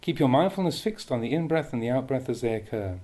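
A man reading a Buddhist meditation teaching aloud with measured, deliberate phrasing. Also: mid-range voice, animated, dark tone. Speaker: Buddhist monk reading a translated dhamma talk.

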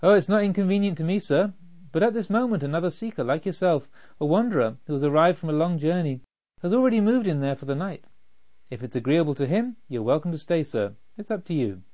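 A man's voice: on the high side, lively intonation, fast, dark tone, close to an everyday voice. A man voicing an obliging, deferential village potter in a storybook reading, polite and accommodating. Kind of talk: storybook narration. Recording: slightly dull, lo-fi.

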